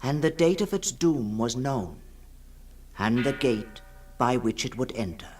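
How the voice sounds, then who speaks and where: A man reading a fantasy tale as scripted dramatic narration, solemn and portentous, with deliberate pauses. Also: medium pitch, lively intonation, a little mellow, faint music behind. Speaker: story reader.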